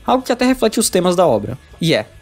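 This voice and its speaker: A man's voice: high-pitched, sing-song, quick pace, faint music behind. A man with a Brazilian accent giving scripted narration for a video essay.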